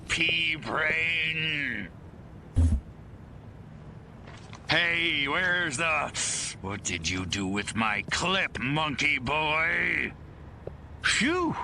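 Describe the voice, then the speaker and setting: An actor playing a small, grumpy alien invader in a video game, gravelly, sardonic and theatrical with his pompous insults. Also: fairly high pitch, bright, forceful tone, a little hiss or hum, exaggerated voice. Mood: menacing, sarcastic. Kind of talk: video game cutscene acting.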